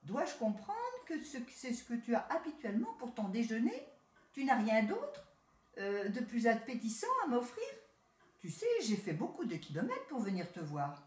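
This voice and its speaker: A woman's voice: on the high side, huge pitch swings, moderate pace, a little mellow, pitched above the performer's own voice. A woman voicing a haughty, boastful male city rat in a storybook tale, talking down to his cousin. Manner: proud, boastful, condescending. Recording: roomy echo.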